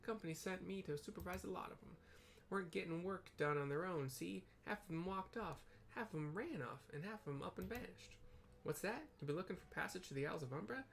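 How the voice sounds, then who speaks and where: A man plays a gruff, grumbling dockside boss from a fantasy game. The voice creaks and sits a little above his own talk, with rustic phrasing and folksy tags on the ends of sentences. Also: high-pitched, animated, brisk, fairly bright tone, pitched above the performer's own voice, full character voice. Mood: grumbling, musing. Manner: rustic dialect, tacked-on tag phrases. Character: gruff male port supervisor, rustic and grumbling.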